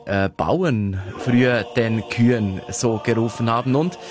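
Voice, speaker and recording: A man's voice: mid-range voice, measured pace, music in the background. A man from Fribourg with a Swiss accent, presenting a radio programme in a conversational style. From Fribourg, Switzerland. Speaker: radio host.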